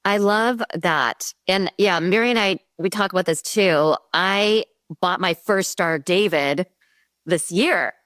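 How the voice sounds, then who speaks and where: Animated woman from the Midwest. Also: fairly low pitch, a little slow, no room sound, studio quality. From American Midwest. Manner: animated storytelling. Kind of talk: conversational interview.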